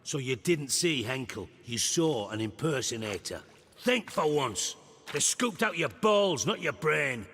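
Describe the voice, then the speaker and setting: A man's voice: mid-range voice, animated, measured pace, fairly bright tone, husky, in a large hall, exaggerated voice. An actor voicing a sardonic, bossy, middle-aged male spymaster in a video game, sarcastic, imperious and crude.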